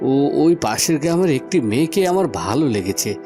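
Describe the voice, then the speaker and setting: A man's voice: fairly high pitch, sing-song, quick pace. A man voicing an earnest young village son in a cartoon, with music behind him. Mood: earnest, eager. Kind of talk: Bengali cartoon dubbing.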